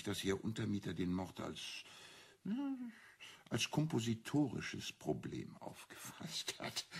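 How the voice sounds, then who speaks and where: An actor playing a shrewd priest and amateur detective in a German radio crime drama, explaining deliberately and with self-assurance. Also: male voice, deep voice, vocal fry, husky, heavily acted. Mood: matter-of-fact.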